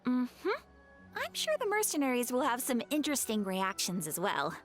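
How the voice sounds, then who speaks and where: An actress voicing a polite young guild receptionist in a video game, measured and formal with a quietly mischievous streak. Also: female voice, fairly high pitch, huge pitch swings. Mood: politely mischievous. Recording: music behind the voice.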